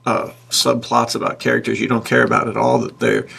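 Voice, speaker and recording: A man with a very creaky, husky voice co-hosts a podcast in a loose, chatty style. He is enthusiastic and slangy, talking as if to a good buddy. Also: mid-range voice, brisk, bright, forceful tone. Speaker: podcast co-host and writer. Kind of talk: casual podcast chat.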